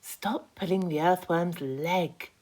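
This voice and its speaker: A woman voicing a kindly, sensible ladybird who gently chides, in a children's storybook. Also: fairly low pitch, takes it slowly, pitched above the performer's own voice. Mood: chiding.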